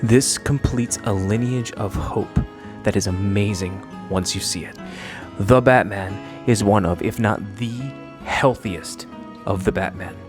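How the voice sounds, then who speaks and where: A man's voice: fairly low pitch, measured pace, music in the background. A man reading scripted narration for a fan video essay.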